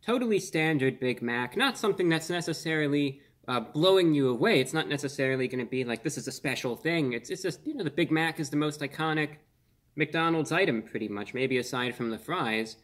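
A man in his twenties hosting a casual food review on camera, speaking in an earnest, measured way with slightly formal phrasing. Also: on the high side, expressive, a bit bright. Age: 20s. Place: small indoor room.

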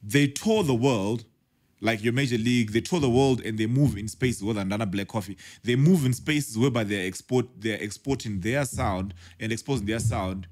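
A Zimbabwean man hosting a podcast, chatting casually with his guest into a studio boom mic. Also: moderate pace, a little mellow. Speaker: podcast host. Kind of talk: podcast interview, casual chat. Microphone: studio mic on boom arm.

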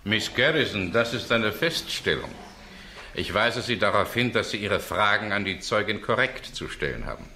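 A man's voice: lowish voice, expressive, normal speed, sharp tone, slight background noise. An actor playing a stern, authoritative male judge, formal and admonishing, in a German crime radio play.

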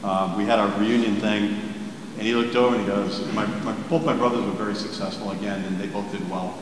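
A man's voice: on the low side, steady tone, brisk. A man in his sixties giving a keynote in a candid, plainspoken way, with frequent restarts, answering questions before a seated audience. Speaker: keynote speaker. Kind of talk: conversational keynote Q and A. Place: large hall with a seated audience. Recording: echoey hall with background noise.

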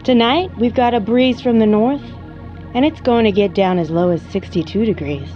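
A woman's voice: fairly high pitch, moderate pace, lightly acted. Actress as gracious local radio weather lady, audio drama, folksy, soothing, muffled sound, faint music bed. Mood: gracious, calm. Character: gracious small-town female night radio weather announcer.

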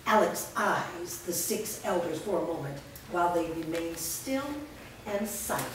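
A woman's voice: fairly low pitch, measured pace. A woman reading scripted stage directions aloud as the narrator of a staged reading. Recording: echoey room, far mic, clear noise.

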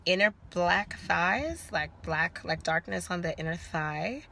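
Slow, gravelly young woman on a phone livestream. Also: on the low side, a little hiss or hum. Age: young adult. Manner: relaxed, chatty, answers viewer comments, curses. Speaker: livestream host. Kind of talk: casual livestream chat. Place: inside a car. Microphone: phone at arm's length.

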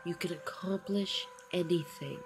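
Female meditation guide, lowish pitch, very unhurried and soothing, encouraging affirmations in short spaced phrases. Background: background music.

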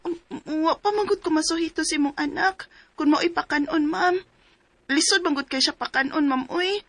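A woman's voice: sits high, normal speed, a bit bright, no echo. A woman in her twenties from Cebu province voicing a timid young helper who narrates her own story and pleads apologetically in a radio drama. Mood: pleading. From Cebu province, Philippines. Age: 20s. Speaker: letter-writer narrator and lead.

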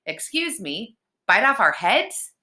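A woman's voice: medium pitch, big pitch swings, takes it slowly, sharp tone, voice pushed up, full character voice. A woman voicing an anxious, skeptical young twin brother in a children's storybook, complaining with sarcastic indignation. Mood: indignant.

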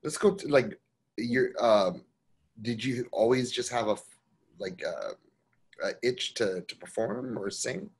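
A middle-aged man with a slightly husky voice hosts an interview over a video call from his home office. He speaks haltingly, stopping and restarting his sentences with frequent fillers. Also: medium pitch, lively intonation. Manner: halting, many ums and restarts. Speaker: magazine interviewer. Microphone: video call mic.

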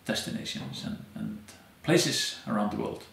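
A man in his thirties from Iceland, a native Icelandic speaker, telling stories as an interview guest with many pauses and fillers. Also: on the low side, huge pitch swings, vocal fry, a bit bright, roomy sound. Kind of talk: conversational storytelling. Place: dim indoor room, seated in armchair.

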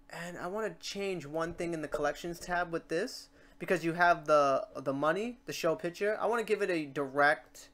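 A tutorial host chatting informally and thinking aloud in a meandering way while working through software steps. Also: medium pitch. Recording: noisy room, keyboard and mouse clicks. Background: typing and mouse clicking.

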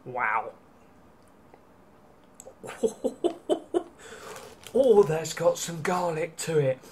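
A man's voice: a little high, very animated, very slow, a little mellow, slight background noise. Wry British man on a webcam. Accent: British English. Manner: chatty and wry, with many ums and restarts. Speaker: travel vlogger. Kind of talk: casual food-tasting vlog. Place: small hotel room, indoors.

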